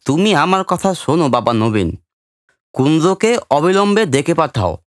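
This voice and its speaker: A man's voice: on the high side, expressive, measured pace, no echo, clean studio sound. An actor playing an old village man in an audio drama, insistent and superstitious, urging like an elder. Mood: anxious, insistent. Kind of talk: Bengali audio drama acting.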